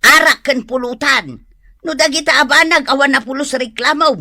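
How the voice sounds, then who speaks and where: A woman's voice: sits high, normal speed, gravelly, bright, forceful tone, dry recording, studio quality, full character voice. An actress playing a plaintive, nagging elderly mother with an Ilocano Filipino accent in a radio drama.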